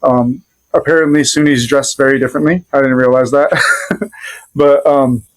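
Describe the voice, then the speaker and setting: A man with a Rhode Island accent tells a personal story on a podcast, at a middling pitch for a man. His delivery is relaxed and meandering, with plenty of pauses as he gathers his thoughts. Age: adult father. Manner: easygoing, loosely rambling with many pauses. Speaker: podcast guest. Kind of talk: casual conversational storytelling.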